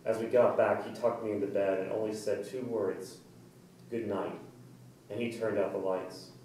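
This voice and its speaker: Heavy room echo surrounds a distant male voice with faint noise behind it. It is an actor as a haunted, earnest man in a trance in a staged play. He speaks deliberately and intensely, in a medium pitch. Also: fairly even intonation, mellow, close to an everyday voice. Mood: haunted, brooding. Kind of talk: dramatic staged play reading. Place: indoor room, staged reading recorded from afar.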